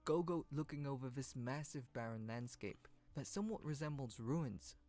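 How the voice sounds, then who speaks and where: A man's voice: medium pitch, expressive, normal speed, darkish tone. A man reading scripted, informative narration for an online video. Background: background music.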